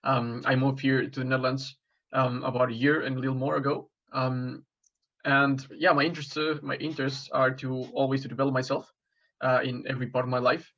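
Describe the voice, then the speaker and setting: Mexican-born man, 20s, job applicant: halting, filler-heavy answers, monotone, slightly muffled audio. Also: mid-range voice. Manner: hesitant, many fillers and restarts. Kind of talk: mock job interview answers.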